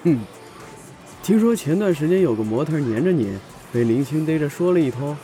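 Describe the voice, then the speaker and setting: A man's voice: fairly high pitch, expressive, normal speed, dark tone, soft background music, natural voice. An actor playing a chatty, cynical male best friend in a Chinese audio drama, needling and flippant.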